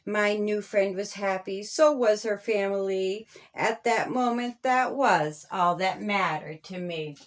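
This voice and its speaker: Slow-reading woman telling a children's story. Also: medium pitch. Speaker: author reading own story.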